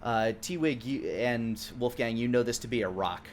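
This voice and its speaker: A man with an average-pitched voice runs a tabletop fantasy game as game master. He improvises his narration haltingly, feeling for the next word, with some background noise behind him. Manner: halting, searching for words as he narrates. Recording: clearly noisy background.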